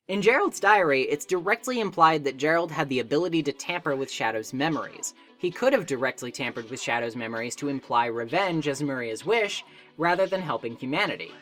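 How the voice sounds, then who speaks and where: A woman's voice: low-pitched, a bit bright. A woman delivering scripted video essay narration in a conversational way, with wry asides.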